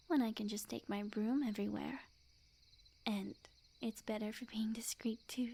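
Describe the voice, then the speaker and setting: A woman playing a sweet, obsessive witch girlfriend in an ASMR roleplay, speaking softly and breathily in a coy, unhurried, often whispered voice. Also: fairly high pitch, slightly rough. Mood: doting.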